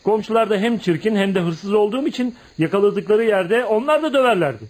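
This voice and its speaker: A brooding tough guy outcast in a radio play, highish voice, bitter n proud, dramatic storyteller. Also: animated, a little fast, a little mellow. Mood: pained, confiding. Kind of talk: radio theatre acting.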